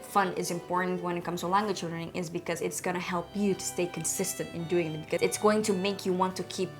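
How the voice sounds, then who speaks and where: Female online educator, on the lower side in pitch, casual and frank delivery, light music bed. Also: fairly even intonation, fast talker. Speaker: language-learning vlogger. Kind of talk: casual instructional talk to camera.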